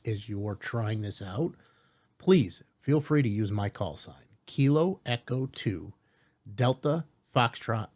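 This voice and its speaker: A man hosting a step-by-step software tutorial in an informal, reassuring way, often checking in with rhetorical asides. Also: fairly low pitch. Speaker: tutorial host.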